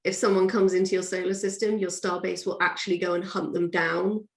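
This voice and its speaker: A woman's voice: on the low side, little pitch movement, fairly quick, gravelly. A woman guest author talking casually and with animation in an interview, often acting out what people say. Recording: echoey, roomy sound.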